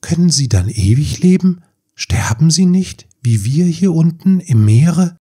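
Man as a yearning young mermaid, high and breathy. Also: expressive, unhurried, exaggerated voice. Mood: curious. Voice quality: breathy, soft-edged. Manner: earnest, pleading questions. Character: young little mermaid girl, yearning and sorrowful.

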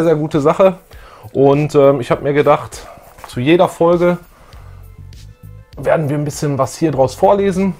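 A man's voice: fairly high pitch, a little mellow. Middle-aged man hosting, music behind. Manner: jokey, colloquial. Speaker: YouTube show host. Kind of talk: casual fan chat. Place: small room full of posters and collectibles, on a couch.

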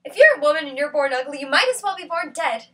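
A woman performing a theatrical monologue as a lonely, bitter woman who feels ugly, with an intense, halting delivery. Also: sits high, animated, fairly bright tone, recorded from a distance. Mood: angry, defensive. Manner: intense, halting, swinging from whisper to outcry.

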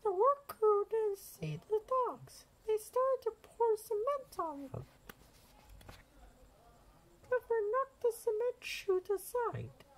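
Very high-pitched woman reading a storybook. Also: expressive, slow, low-quality recording. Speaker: children's book reader. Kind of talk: animated storybook read-aloud.

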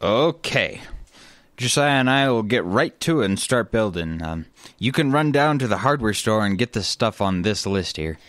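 A man's voice: on the low side, animated, normal speed, a bit bright, no room sound, studio quality. A man acting in a family radio comedy as an eager, helpful brother full of inventive ideas. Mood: matter-of-fact, eager.

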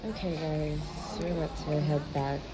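A woman's voice: sits low, measured pace. A young woman in her twenties talking casually to her phone camera, recapping the day. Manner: relaxed, chatty, recapping the day. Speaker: vlogger. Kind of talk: casual vlog talk to camera. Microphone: phone at arm's length. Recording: noisy outdoor phone audio.

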